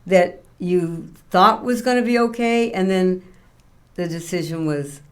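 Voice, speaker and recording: A woman's voice: lowish voice, a little slow. Elderly woman hosting an interview. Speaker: interview show host. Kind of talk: conversational interviewing.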